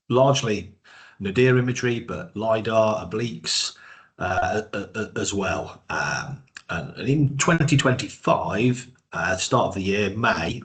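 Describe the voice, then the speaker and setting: A man with a cold and a croaky voice, giving a webinar presentation with many hesitations and repeated words. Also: low-pitched.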